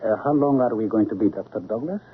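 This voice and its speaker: A low, guarded doctor's voice acted by a man in old-time radio style. He answers tersely and cautiously. The recording is narrow, with clear noise throughout. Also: quick pace, natural voice. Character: cautious, noncommittal male doctor monitoring the patient.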